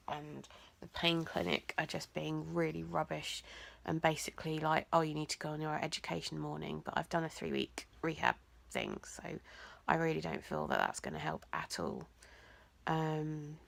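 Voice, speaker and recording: A young woman, talking in a rambling, hesitant way in a personal vlog, nasal and croaky from blocked sinuses. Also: deep voice, no room sound. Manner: rambling, hesitant, many ums and likes. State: congested, teary. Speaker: vlogger.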